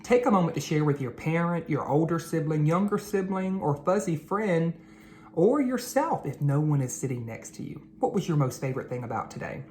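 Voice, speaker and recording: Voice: mid-range voice, lively intonation, fairly quick, a little mellow, slight background noise. A read-aloud host narrating storybooks and talking to children in an encouraging, teacherly way.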